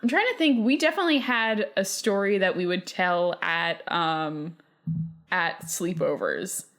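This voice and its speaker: A woman's voice: lowish voice, lively intonation, measured pace, a bit bright. A woman co-hosting a podcast, chatting casually and reading emails aloud in an informal, slangy way. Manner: informal, slangy, peppers talk with "like". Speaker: podcast co-host.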